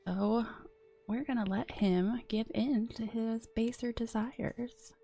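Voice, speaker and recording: A woman streaming a strategy game and chatting casually, a bit sniffly from a runny nose, often dropping into soft whispered asides. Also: a little high, steady tone, slow, gravelly, soft background music. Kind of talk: casual gameplay commentary.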